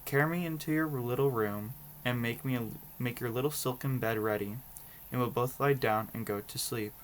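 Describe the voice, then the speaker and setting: A man's voice: mid-range voice, normal speed, a little hiss or hum, lightly acted. A man voicing an insistent, demanding frog in a storybook read-aloud. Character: insistent young male frog, demanding and weary.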